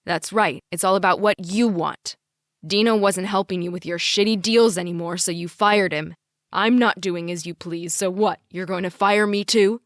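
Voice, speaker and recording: A woman's voice: on the low side, steady tone, unhurried, dry recording. A sarcastic young man as a woman reads him in audiobook dialogue. He is defiant and combative toward authority, and the sound is close and dry.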